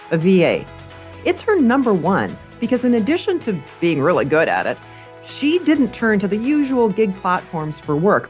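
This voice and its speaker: A woman hosting a podcast, reading an upbeat scripted introduction and then asking interview questions. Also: medium pitch. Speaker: podcast host.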